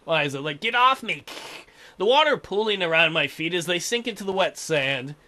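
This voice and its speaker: A man's voice: a little high, animated, brisk, sharp tone. A man doing a let's play of a visual novel, reading it out and throwing in irreverent, crude wisecracks. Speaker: let's player.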